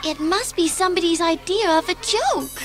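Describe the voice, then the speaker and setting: A woman's voice: very high voice, animated, normal speed, music in the background. A woman playing a sensible, cautious schoolgirl in a dramatised children's audiobook, with an emphatic, scolding manner. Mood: dismissive, scolding. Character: sensible, cautious, reluctant schoolgirl best friend.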